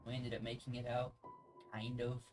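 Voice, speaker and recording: A man's voice: mid-range voice, completely flat delivery, normal speed. A man doing informal let's play commentary, chatting casually and sometimes stumbling as he reads game text aloud. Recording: music playing behind the voice.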